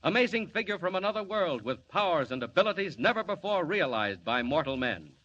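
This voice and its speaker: A man's voice: on the high side, very animated, fairly quick, fairly bright tone. A man narrating a 1940s radio serial with a dramatic, sweeping delivery. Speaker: narrator and announcer. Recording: dull old radio recording with hiss.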